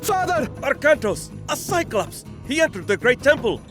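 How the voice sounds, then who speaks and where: An actor playing an eager young follower in a video game cutscene, exclaiming breathlessly. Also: male voice, very high voice, sing-song, moderate pace, music in the background. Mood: alarmed.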